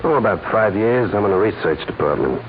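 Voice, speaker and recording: An actor playing a sly, flirtatious killer posing as a customer, with hesitant, coaxing small talk in a 1940s radio crime drama. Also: male voice, on the low side, animated, a little fast. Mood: casual, guarded. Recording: dull, noisy 1940s radio recording.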